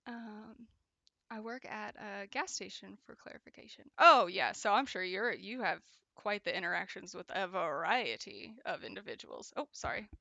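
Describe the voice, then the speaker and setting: A woman's voice: medium pitch, lively intonation, moderate pace, gravelly, sharp tone, no room sound, highs cut off. A young woman streaming her crochet work, chatting casually with viewers and laughing often. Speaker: craft streamer. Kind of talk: casual chat while crocheting and reading chat.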